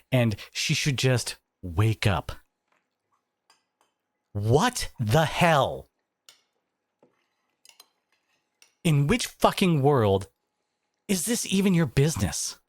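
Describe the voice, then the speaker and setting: A man acting a devoted, protective boyfriend in an audio roleplay, speaking in an earnest, halting, conversational way with an edge of sarcasm. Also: fairly low pitch, dry recording, heavily acted. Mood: angry. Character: devoted, protective boyfriend confronting his partner's family, adult man.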